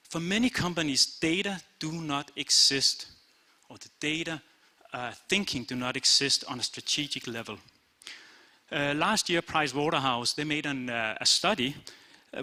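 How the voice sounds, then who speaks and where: A man's voice: fairly high pitch, bright, forceful tone. A middle-aged man with a Danish accent, a company CEO giving a short talk at an event, plain-spoken with frequent "uh" and "basically". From Denmark. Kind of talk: short conference speech. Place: event room.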